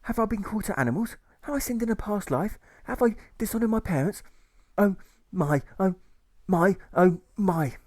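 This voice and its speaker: A man's voice: high voice, lively intonation, moderate pace, darkish tone, no echo, studio quality, voice pushed up. A man voicing a nervous, self-pitying engineer in an audiobook, fretful and plaintive. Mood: plaintive distress. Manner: plaintive rhetorical questioning, fretful.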